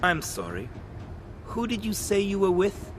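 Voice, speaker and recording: A man's voice: high voice, wildly swooping pitch, unhurried, exaggerated voice. A man playing a shifty, officious and evasive security guard in a video game. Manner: hesitant, evasive. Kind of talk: video game cutscene acting. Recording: clear urban noise behind.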